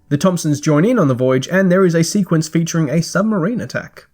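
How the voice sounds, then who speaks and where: A man hosting a podcast, reading a scripted review in a measured, articulate way with dry, wry asides. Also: fairly high pitch.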